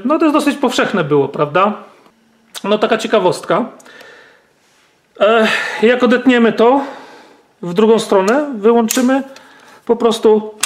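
A man, demonstrating and explaining a rifle step by step, with many fillers and tag questions. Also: high-pitched. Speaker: gun channel host. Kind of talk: hands-on firearm demonstration.

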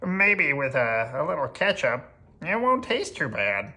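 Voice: mid-range voice, big pitch swings, unhurried, pushed and bright, voice pushed up, full character voice. A storyteller playing an apologetic, hesitant storybook cook who has turned fireman, speaking matter-of-factly.

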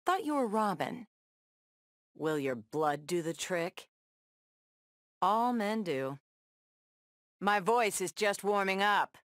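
A woman's voice: mid-range voice, very animated, slow, long pauses, dry recording, clean studio sound. A woman playing a tough, sassy superheroine in a video game, trading confident, defiant taunts and wry one-liners. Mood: cocky, taunting. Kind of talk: video game battle banter.

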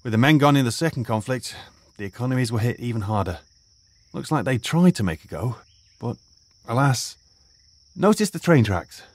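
Male actor as retired archaeologist teacher; measured, professorial, expressive pitch. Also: close to an everyday voice. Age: older. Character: retired archaeologist turned high school teacher, lecturing and cautious. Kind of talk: audio drama acting.

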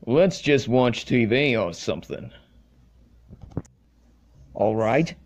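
A man's voice: medium pitch, sing-song, slow pace, slight background noise. A man voicing a brash young male action hero in an amateur cartoon. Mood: casual, determined. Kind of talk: amateur animated cartoon voice acting.

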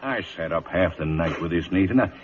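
A man's voice: low voice, fairly quick, husky. A man playing an apologetic, fussing older army major in a radio western. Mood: weary, apologetic. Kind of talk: old-time radio western drama acting. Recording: muffled old radio broadcast recording.

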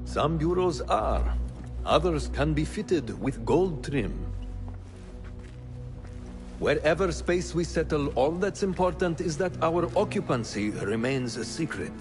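A calm, practical man of a secret assassin order in a video game. His voice sits a little high and he explains things with composure. Faint music runs underneath. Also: a little slow. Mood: calm, informative. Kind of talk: cinematic video game acting.

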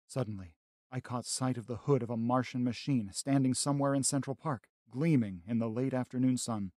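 A man playing a grave, educated survivor of an alien invasion in a radio drama, solemn and measured with literary phrasing. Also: medium pitch, a little mellow, no room sound, studio quality, lightly acted. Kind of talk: dramatic radio narration and acting.

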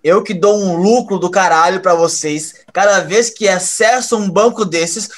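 High-pitched young hacker. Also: male voice, a little hiss or hum. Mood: seething. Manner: halting, repeats words when pressed. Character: resentful young male hacker, a washerwoman's son. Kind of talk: audio drama acting.

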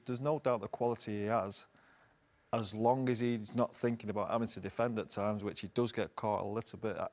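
A man in his forties with a somewhat low voice gives football analysis from a studio panel. He is halting, often repeating words while he gathers his thoughts. He is recorded on a lapel mic in a television studio. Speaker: studio pundit. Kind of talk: post-match football analysis.

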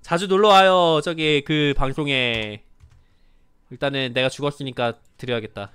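A man's voice: mid-range voice, fairly even intonation, moderate pace, fairly bright tone, smooth, no room sound. A man chatting casually as he hosts an online board game stream.

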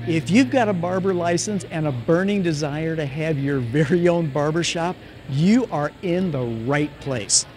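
An older man, a barber, giving an upbeat direct-to-camera sales pitch in a shopping mall, asking questions and answering them himself. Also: normal speed, a little mellow, a little hiss or hum. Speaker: barber and franchise owner. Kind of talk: direct-to-camera promotional vlog. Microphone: camera on tripod at mid distance.

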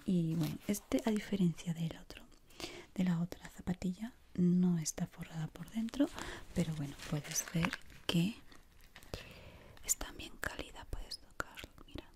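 A woman with an accent from Spain whispers gently and soothingly in a breathy voice, playing an ASMR shop assistant up close to the mic. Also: fairly low pitch, measured pace. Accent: Spanish from Spain. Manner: soft, gentle, soothing, many diminutives. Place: small indoor room. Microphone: close microphone. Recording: close mic with clear background noise.

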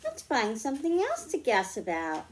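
A middle-aged woman reading picture books aloud to young children, explaining slowly and clearly at home. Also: fairly high pitch, wildly swooping pitch, slight background noise. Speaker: children's librarian. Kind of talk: storytime read-aloud for kids.

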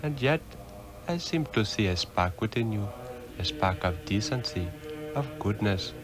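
An actor playing a wise, aged mystic master in an old radio drama, speaking solemnly and formally. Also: male voice, on the low side, expressive, unhurried. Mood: knowing, calm. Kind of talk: 1960s radio drama acting. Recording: old radio drama recording under loud music.

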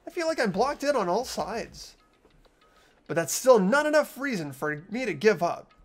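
A man's voice: high voice, very animated, normal speed, soft background music, voice pushed up. A man voicing an earnest, determined teenage boy in a visual novel game, with emphatic delivery.

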